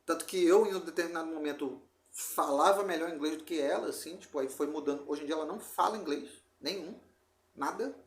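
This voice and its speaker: Casting: male podcast host, Rio de Janeiro accent, slightly high voice, slangy rambling storyteller, roomy echoey sound. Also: a little fast. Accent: Brazilian Portuguese, Rio de Janeiro. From Brazil. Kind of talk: casual chat, telling family anecdotes. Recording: roomy echo.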